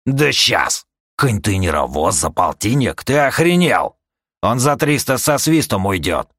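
Мужчина озвучивает нетерпеливого, грубоватого наёмника в аудиокниге, говорит резко и отрывисто. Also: male voice, fairly low pitch, expressive, measured pace, no room sound, studio quality, pitched above the performer's own voice. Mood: indignant. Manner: curt, slangy, combative. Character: brash, impatient mercenary man, gruff and stubborn.

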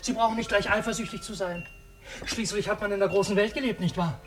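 A man's voice: high voice, steady tone, quick pace, over music, close to an everyday voice. A man playing a cranky, eccentric old junk dealer in a radio play, fussy and agitated, often repeating himself. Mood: effusive, fussy. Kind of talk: German radio play acting.

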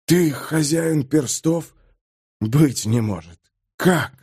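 Audiobook captive sleuth, male narrator in role: quiet, courteous, halting and unsettled. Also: lowish voice, no echo, studio quality, lightly acted. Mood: breathless shock. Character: shaken captive investigator, polite adult man.

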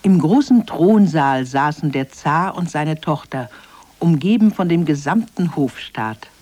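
A man's voice: on the high side, animated, measured pace, mellow. A man narrating a scripted children's radio fairy tale as its storyteller.

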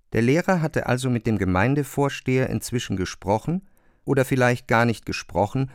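A man's voice: medium pitch, no echo. Male literary narrator, dry studio sound.

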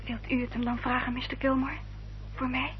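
Female actor as nervous young heiress, high voice, formal, hesitant but firm, muffled radio drama with faint noise. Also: little pitch movement, fairly quick, lightly acted. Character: anxious, resolute young heiress of twenty. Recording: dull old radio recording, hum and noise.

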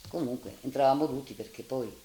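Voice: mid-range voice, a little fast, a crowd in the background. An elderly person, telling a spontaneous anecdote as an eyewitness. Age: 70s or older. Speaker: eyewitness recalling wartime. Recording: echoey room, faint noise.

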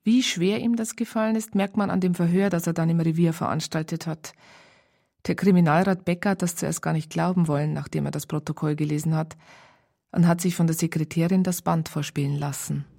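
Creaky-voiced narrator. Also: female voice, fairly low pitch, steady tone, brisk, dark tone. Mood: matter-of-fact. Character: matter-of-fact woman narrating a police case. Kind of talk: scripted narration in a radio crime drama.

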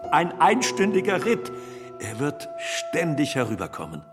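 Very high animated male voice, cheerful doting father, radio play, music behind. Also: measured pace. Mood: cheerfully confident. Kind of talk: radio drama acting.

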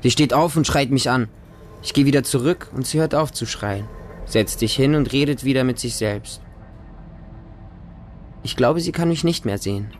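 A man's voice: mid-range voice, moderate pace, long pauses, soft background music, natural voice. A man playing a lonely, naive small homeless boy who narrates his life in a radio drama in short, plain sentences. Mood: lonely, wary.

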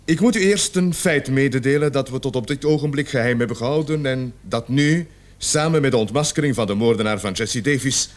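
A man acting in a Dutch radio play as a gruff, authoritative police sergeant with deliberate, emphatic delivery. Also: medium pitch, close to an everyday voice. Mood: formal, emphatic. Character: gruff, authoritative male police sergeant leading a murder investigation. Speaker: radio drama actor.

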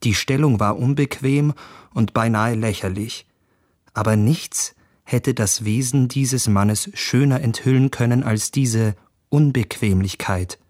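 An actor in a literary German radio drama playing an earnest, intent young foreigner who observes closely. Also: male voice, mid-range voice, unhurried, darkish tone, no room sound, clean studio sound, lightly acted. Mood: fascinated, reflective.

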